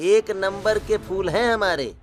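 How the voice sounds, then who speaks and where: A man's voice: sits high, a little slow, faint music behind. A man playing a pushy, proud flower seller in cartoon story dialogue. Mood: confident pitch.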